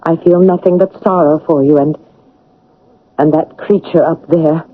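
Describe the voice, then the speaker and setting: An actress playing an earnest, wounded young governess in a classic radio drama, speaking formally and with dignified emotion. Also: female voice, deep voice, steady tone, a little slow. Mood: sorrowful, resolute. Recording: narrow, tinny old radio broadcast.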